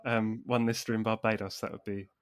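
A man's voice: fairly low pitch, little pitch movement, fast, clean tone. A man hosting a podcast, hesitant and self-deprecating, with many ums. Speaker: podcast host. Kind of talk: casual conversation.

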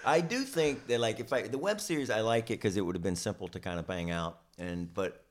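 A man's voice: lowish voice, a little fast. A man speaking as a guest writer, casually chatting about his own comedy writing.

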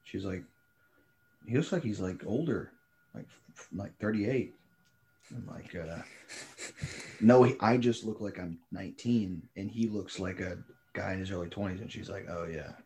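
A young man co-hosting a podcast, telling rambling stories full of fillers and deadpan jokes. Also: deep voice, normal speed, long pauses. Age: young adult. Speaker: podcast co-host. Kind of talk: casual chat.

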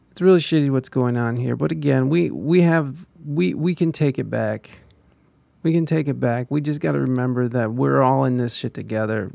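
A man's voice: medium pitch, gravelly, darkish tone, dry recording. A man on a live stream, chatting casually and ranting, profane and rambling, with many restarts. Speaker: live streamer reading viewer comments.

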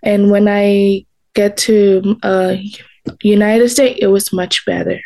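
Female guest from Myanmar, non-native English speaker, earnest, plain phrasing, mid pitch. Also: steady tone, slow, mellow. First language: not English. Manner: simple, earnest sentences with small grammar slips. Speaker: teacher and former student.

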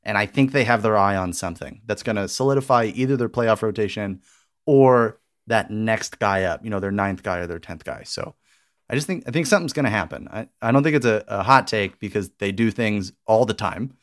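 A man's voice: lowish voice, brisk. A man co-hosting a sports podcast in casual, conversational chat. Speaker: podcast co-host.

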